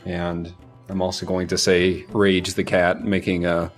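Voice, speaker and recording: A man with a fairly low voice in a tabletop game chat, delivering his ideas in a deadpan, matter-of-fact way. Faint music plays underneath. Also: a little slow. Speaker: player.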